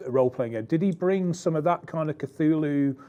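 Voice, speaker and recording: Male interviewer, mellow tone, hesitant and full of ums. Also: a little high, normal speed, highs cut off. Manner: hesitant, many ums, agreeable. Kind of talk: hosted interview questions.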